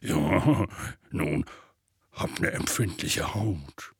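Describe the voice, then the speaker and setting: A man voicing a big, good-natured half-giant in an audiobook, with a gravelly, raspy voice and a hesitant, colloquial drawl. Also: low voice, extremely slow, no echo, clean studio sound, pitched below the performer's own voice, exaggerated voice. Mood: sheepish, wary. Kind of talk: audiobook character voice.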